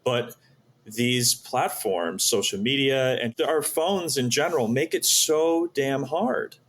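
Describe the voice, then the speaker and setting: A man's voice: mid-range voice, slow pace, fairly bright tone. A man speaking as an interview guest, explaining his ideas earnestly and often posing questions that he answers himself. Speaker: podcast guest, startup founder.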